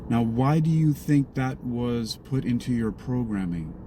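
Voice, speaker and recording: A man with a mid-range voice chats casually as a mission partner in improvised sci-fi role-play. He is inquisitive and a little halting. Clear car and road noise sits behind him, as inside a moving vehicle. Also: mid-range voice, expressive.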